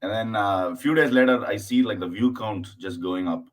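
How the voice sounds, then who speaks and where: Deep-voiced comedian from southern India. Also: male voice, slight background noise. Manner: halting, many fillers and restarts; acts out his mother's voice in anecdotes. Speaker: stand-up comedian, guest. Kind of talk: casual podcast conversation.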